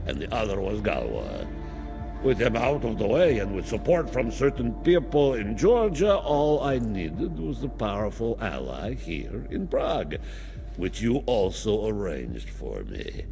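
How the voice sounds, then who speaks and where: A courtly male crime boss from a video game, formal and coolly menacing. His lowish, creaky voice swings dramatically up and down. He sounds theatrical and contemptuous. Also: big pitch swings, a little slow, warm tone, full character voice. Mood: smug, courtly. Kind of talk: video game cutscene acting. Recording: music under the voice.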